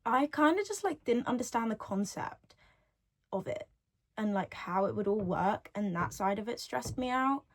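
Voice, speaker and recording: A woman's voice: a little high, lively intonation, normal speed. An eighteen-year-old woman hosting her own solo podcast from home, chatting and rambling freely, often repeating her own phrases, recorded on a device's built-in mic with no podcast microphone. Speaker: podcast host.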